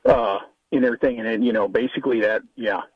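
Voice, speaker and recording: Husky Texas-raised man on a dull line. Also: lowish voice, fairly even intonation, quick pace, no echo. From West Texas. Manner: agreeable, rambling, many fillers. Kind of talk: casual political chat. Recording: dull lo-fi dry sound.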